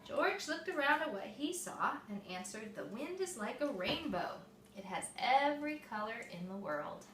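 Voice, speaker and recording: A woman reading a picture book aloud to young children, expressive and explaining things simply, like a mother at storytime. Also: fairly high pitch, moderate pace. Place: echoey room with small children present. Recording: echoey room, distant mic, faint noise.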